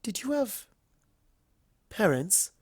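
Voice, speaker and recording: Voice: mid-range voice, big pitch swings, slow pace, a little mellow, breathy edge, no echo, clean studio sound, pitched above the performer's own voice, heavily acted. A performer voicing a refined, courteous, slightly anxious female unicorn pony in a storybook reading, with polite, careful phrasing. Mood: earnest, hesitant.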